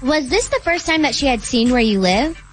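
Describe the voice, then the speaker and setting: Lady radio cohost, bit higher voice, casual opinionated chatter, music in the background. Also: animated, moderate pace. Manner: colloquial, opinionated. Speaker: radio show co-host. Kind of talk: casual banter and commentary.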